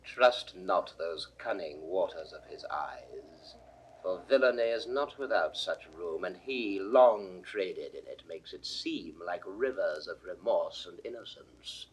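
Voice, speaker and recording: An actor playing an indignant, distrustful lord, declaiming deliberately in 1960s classical Shakespearean style. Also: male voice, deep voice, animated, poor audio. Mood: scornful, disgusted.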